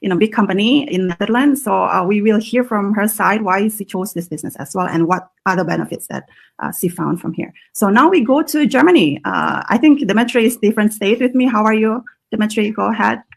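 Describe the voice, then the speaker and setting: Female host, gravelly voice, halting delivery with lots of fillers, video call. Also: mid-range voice, lively intonation. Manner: halting, many fillers and restarts. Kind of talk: live online panel chat.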